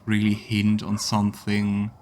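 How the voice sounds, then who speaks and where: A man's voice: on the low side, monotone, measured pace, darkish tone, a little hiss or hum. A man giving a casual let's play commentary, thinking aloud as he goes. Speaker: game streamer.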